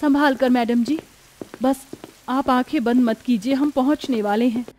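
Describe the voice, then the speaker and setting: Woman as humble, caring poor housewife, highish voice. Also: normal speed, a little mellow, slight background noise, natural voice. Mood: earnest. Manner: pleading, humble. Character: poor, caring, self-sacrificing young sister-in-law.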